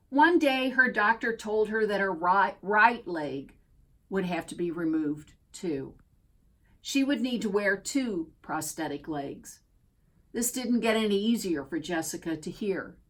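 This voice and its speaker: A woman reading a children's picture book aloud as a storyteller, expressively and deliberately, drawing out key words. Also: medium pitch, lively intonation, fairly bright tone. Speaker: storybook reader.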